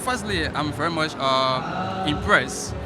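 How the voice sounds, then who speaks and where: A man, a government budget officer, speaking earnestly and haltingly in a red carpet interview. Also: fairly high pitch. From Liberia. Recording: loud music behind.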